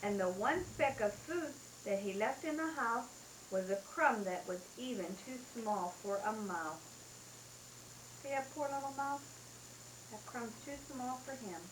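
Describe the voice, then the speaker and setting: A woman's voice: a little high, expressive, unhurried, echoey room, noisy background. A mother reading a rhyming bedtime story aloud in a sing-song way, taped at home.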